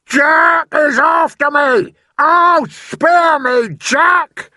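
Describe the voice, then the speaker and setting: A man's voice: very high-pitched, extremely slow, bright, forceful tone, no echo, clean studio sound, pitched above the performer's own voice, full character voice. A man voicing a huge brutish giant in a storybook, bellowing and screaming in terror with long pleading wails. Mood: terrified, screaming. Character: terrified huge brute giant having a nightmare, bellowing.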